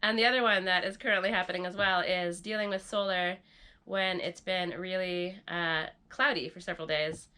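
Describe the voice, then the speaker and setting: A woman's voice: lowish voice, steady tone, normal speed, pushed and bright, smooth. A woman with a stuffed-up, congested nose, chatting casually to camera in an easygoing way from inside a rustic barn. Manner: chatty, easygoing, thinking aloud. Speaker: vlogger renovating an off-grid barn. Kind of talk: casual vlog talk to camera. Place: indoors in a rustic stone barn.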